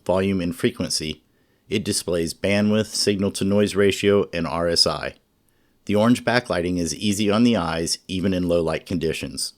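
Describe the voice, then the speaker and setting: A man narrating a scripted product review in a measured, informative way. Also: low-pitched. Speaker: radio reviewer.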